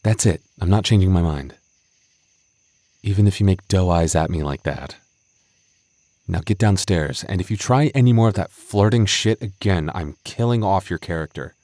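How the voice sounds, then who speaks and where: Man acting as a deep-voiced flustered tsundere young guy. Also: moderate pace, darkish tone, no echo, natural voice. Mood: playful, bossy. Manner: stammering, sighing, mock-stern. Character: flustered tsundere young male dungeon master, bashful, teasing childhood friend. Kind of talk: intimate roleplay audio drama.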